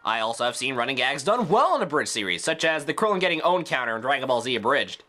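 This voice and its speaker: A man's voice: medium pitch, big pitch swings, fast, bright, forceful tone. A man delivering scripted review commentary with opinionated, sarcastic asides. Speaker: video reviewer.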